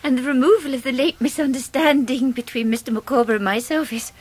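An actress playing a fragile, devoted, genteel middle-aged English wife in a radio drama, with melodramatic delivery. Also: female voice, sits high, steady tone, quick pace, a little mellow, husky. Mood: faint, fluttering.

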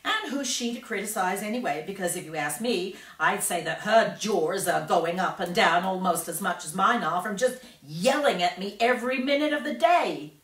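A woman voicing a brash, bragging gum-chewing girl from a storybook, loud and emphatic. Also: mid-range voice, lively intonation, moderate pace, few pauses, natural voice. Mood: boastful, excited. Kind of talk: storybook character reading. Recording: roomy, distant microphone.

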